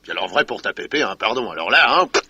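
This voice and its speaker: A man's voice: on the low side, animated, quick pace, bright, forceful tone, slightly rough, no echo, heavily acted. A man playing a brutish, mocking ex-boxer gangster with slangy, threatening talk in an old radio crime drama. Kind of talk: 1950s French radio crime drama acting. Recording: dull vintage radio recording.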